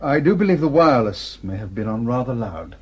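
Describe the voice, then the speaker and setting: An actor playing a prim, protective village rector with a genteel, fussy manner in a radio drama. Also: male voice, medium pitch, big pitch swings, brisk, a little mellow, slightly rough, highs cut off. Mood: protective, indignant.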